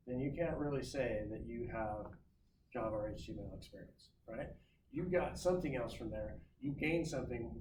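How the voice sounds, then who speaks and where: A man talks to a class as a visiting recruiter, loose and chatty, stopping often to restart his sentences. He is picked up by a mic well away from him, so the voice rings around the room. Steady background noise sits under the speech. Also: medium pitch. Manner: loose and conversational, many ums and restarts. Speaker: guest speaker, recruiter. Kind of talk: classroom talk giving career advice. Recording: roomy, distant mic, noisy.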